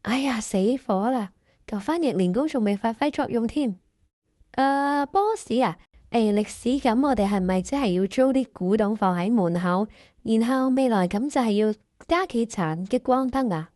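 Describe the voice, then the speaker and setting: Woman as a high, mellow-voiced eager office junior. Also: normal speed, dry recording, clean studio sound, lightly acted. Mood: nervous. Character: eager, anxious junior employee humouring a difficult boss. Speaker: narrator and protagonist of a workplace radio drama. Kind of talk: comedic radio drama with presenter asides.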